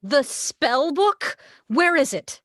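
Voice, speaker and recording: An upset mother, played by a woman, reproachful and stern. Her voice sits fairly high in a dry, close sound, scolding with worry as in a script read aloud. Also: very animated, takes it slowly, fairly bright tone, no room sound, exaggerated voice. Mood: suspicious. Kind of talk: at-home script table read.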